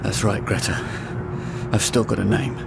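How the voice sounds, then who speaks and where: A man's voice: on the low side, steady tone, moderate pace, vocal fry, heavy background noise. An actor playing an anxious young man turned giant insect in a radio drama, pleading and bewildered. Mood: wry, resigned.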